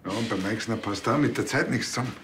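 An actor playing a cocky, casual police officer in a TV drama, needling and colloquial, with a Bavarian accent. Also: male voice, on the low side, quick pace, a bit bright, a little hiss or hum, close to an everyday voice. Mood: breezy.

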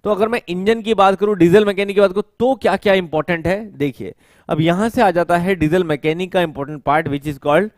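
A man in his thirties teaching an exam-prep class at a whiteboard, explaining step by step and slowly repeating key technical terms as he writes, with English terms mixed into his speech. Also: sits high, no echo, studio quality. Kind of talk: whiteboard lecture. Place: studio with whiteboard, indoors. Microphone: headset mic.

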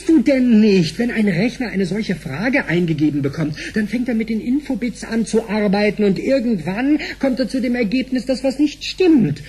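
An actor playing a cheeky, excitable young tech tinkerer in a radio drama, telling things animatedly in slangy talk with bits of English. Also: male voice, high-pitched, normal speed, hardly any pauses, a little hiss or hum. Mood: excited. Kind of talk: German sci-fi radio drama dialogue.

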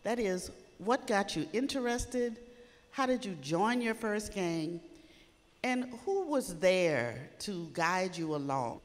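Older woman moderating with lively pitch. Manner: slow, clear questions and gentle prompting. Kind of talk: moderating a panel. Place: indoor community hall. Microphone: handheld mic. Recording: long echo in a hall.

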